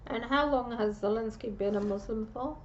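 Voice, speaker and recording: A woman giving a casual, meandering psychic reading, pausing and restarting often, on a dull lo-fi recording. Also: on the high side, a little hiss or hum. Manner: meandering, with frequent restarts and pauses. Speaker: psychic reader.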